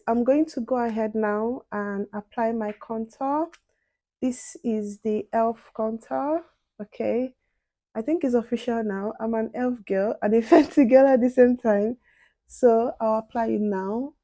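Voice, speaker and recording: A soft-spoken young woman chatting casually to viewers while doing her makeup. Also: fairly high pitch, a little slow, dry recording. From outside the UK. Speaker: beauty and advice vlogger.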